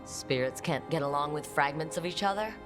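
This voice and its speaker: A woman acting as a heroic adventurer in a fantasy game, wry and plainspoken. Her voice sits low for a woman and sounds casual, direct and questioning. Music is clearly heard behind her. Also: a little fast, natural voice. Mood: inquiring. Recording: studio voice over game music. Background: background music.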